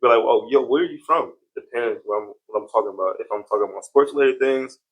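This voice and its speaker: A man's voice: mid-range voice, fast, slightly rough, rough recording. A man in his mid twenties with an American accent, chatting casually as a podcast guest about himself. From United States, born in Miami and raised in Ohio. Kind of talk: casual chat.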